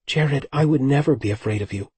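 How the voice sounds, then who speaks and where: A man voicing a tender, earnest young woman in love, in a husky, breathy voice, reading an audiobook. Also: medium pitch, fairly quick, a little mellow, no room sound, voice pushed up.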